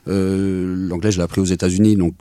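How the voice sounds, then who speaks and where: A French man, a blues singer, answering interview questions in a hesitant, halting way with many fillers. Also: low voice, flat delivery, mellow, dry recording, studio quality. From France. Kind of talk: casual interview.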